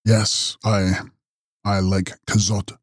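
A man voicing a mysterious, ageless male count who makes grave, measured prophecies in an audiobook. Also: fairly low pitch, flat delivery, husky, no echo, studio quality, full character voice. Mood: foreboding. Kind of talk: audiobook character reading.

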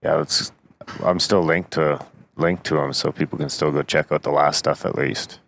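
A man's voice: a bass voice, fairly even intonation, moderate pace, vocal fry, a bit bright, a little hiss or hum. A man co-hosting a podcast, chatting in an easygoing, bantering way. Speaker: podcast co-host. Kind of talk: casual podcast chat.

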